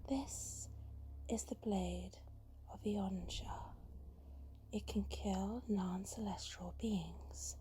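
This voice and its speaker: A woman playing a cunning, vengeful female spirit of darkness in an ASMR roleplay, speaking in a breathy, taunting, deliberate and persuasive way. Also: mid-range voice, steady tone, mellow, slight background noise, poor audio. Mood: coaxing, persuasive. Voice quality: breathy whisper. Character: cunning vengeful female dark spirit, seductive punisher of the guilty.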